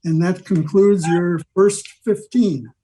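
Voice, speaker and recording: An older man giving an informal history talk on a video call, rambling along with halting digressions. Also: high voice, warm tone, breathy edge. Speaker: club member giving a presentation. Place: video meeting from home.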